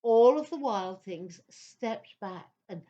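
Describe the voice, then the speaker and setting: A woman's voice: medium pitch, lively intonation, takes it slowly, warm tone, muffled recording. A woman narrating a scripted children's story as part of an audio play.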